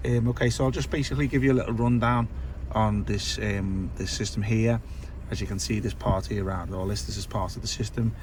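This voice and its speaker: A man with a croaky voice casually walks through a car stereo install from inside the car, talking loosely with lots of fillers. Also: lowish voice, fairly quick, a little hiss or hum. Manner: relaxed, filler-heavy explaining. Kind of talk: casual product walkthrough.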